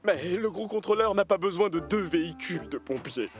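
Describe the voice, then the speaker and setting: Excitable high-pitched cartoon fire engine. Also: male voice, a little fast, soft background music. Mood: sulky, dejected. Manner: theatrical, emphatic. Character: eager, proud, easily discouraged male fire engine. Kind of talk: children's cartoon dubbing.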